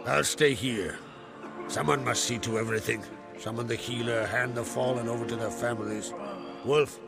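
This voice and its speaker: Man acting a gravelly, proud clan chieftain. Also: medium pitch, steady tone, normal speed, lightly acted. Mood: weary, grave. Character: proud aging clan chieftain, stern and fatherly. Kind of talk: dramatic video game acting. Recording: music behind the voice.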